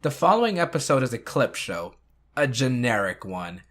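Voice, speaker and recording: A man narrates as the host of a retrospective video. His voice has an average pitch for a male. The delivery is scripted, moving between recap and review. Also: sing-song, normal speed.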